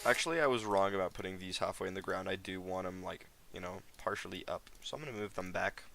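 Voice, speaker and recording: A man's voice: sits low, fast talker, creaky, fairly bright tone, soft background music. A teenage American guy streaming a game, chatting casually with viewers in a rambling, slangy way. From United States. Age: teens. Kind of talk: casual live stream chat.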